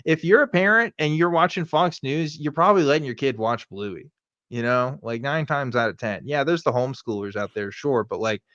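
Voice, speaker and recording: A man's voice: medium pitch, normal speed, a bit bright, no room sound, muffled recording. A man co-hosting a casual reaction show, giving sardonic commentary and slipping into mock quotes. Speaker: show co-host.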